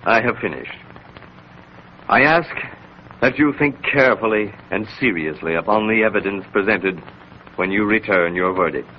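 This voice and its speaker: A man's voice: on the low side, a little hiss or hum, lightly acted. An actor playing an impassioned male defense lawyer, oratorical and emphatic, in 1930s radio style. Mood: solemn. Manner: oratorical, deliberate emphasis and repetition. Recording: muffled 1930s radio recording.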